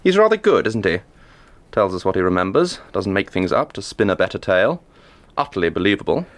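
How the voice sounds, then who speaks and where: An actor playing a pompous senior intelligence chief in a radio drama, urbane and formal. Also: male voice, sits low, big pitch swings, brisk. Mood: affable. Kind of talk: naturalistic radio drama acting.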